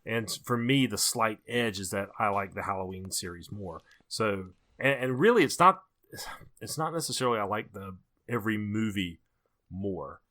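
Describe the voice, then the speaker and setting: A man with a slightly low, bright and forceful voice. He talks casually as a podcast co-host, opinionated about films and leaning on his points by restating them. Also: animated, moderate pace. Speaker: horror movie podcast co-host. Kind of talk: casual opinionated film discussion.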